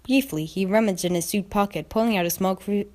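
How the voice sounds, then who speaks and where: Woman, story reader, medium pitch, expressive fan fiction narration, casual asides. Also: brisk.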